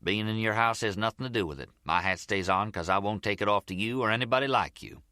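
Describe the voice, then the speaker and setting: A male audiobook reader plays an unflappable tough lawman in a crime novel. He is terse and defiant, with a low, firm voice and a very dry studio sound. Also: fast talker, pushed and bright, dry recording. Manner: terse, unyielding.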